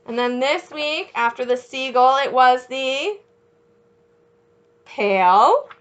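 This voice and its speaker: A woman reading a picture book aloud as a children's teacher, encouraging young listeners with questions and pausing for their answers. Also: high voice, animated, highs cut off.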